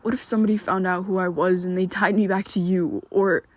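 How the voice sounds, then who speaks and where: A woman voicing a secretive, protective young male vampire best friend in an ASMR roleplay, talking haltingly and often dropping to a whisper. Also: lowish voice, fairly even intonation, darkish tone, no echo, lightly acted. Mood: pleading.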